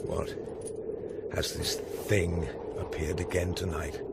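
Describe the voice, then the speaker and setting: A man's voice: low-pitched, level tone, slow pace. A British man acting an earnest, anxious soldier on night watch in Shakespearean radio drama, with intense theatrical delivery. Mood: hushed, anxious. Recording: noisy 1990s radio drama with ambience.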